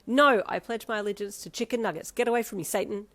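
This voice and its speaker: A woman voicing a bubbly, excitable young girlfriend who squeals and gushes. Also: moderate pace. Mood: playful. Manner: high, squealing, gushing.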